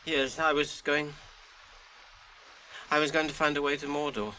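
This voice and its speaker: Burdened halfling, slow halting speech, bright tense tone, weary and desperate, 1980s radio play. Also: male voice, on the high side, little pitch movement, poor audio. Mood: exhausted, faltering. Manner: halting, dramatic delivery. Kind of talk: 1980s radio drama acting. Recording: radio drama with clear background noise.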